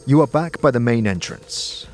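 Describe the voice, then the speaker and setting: A man narrates a fantasy audio drama in the second person, with theatrical, deliberate storytelling. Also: mid-range voice, animated. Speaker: narrator and game master. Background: music.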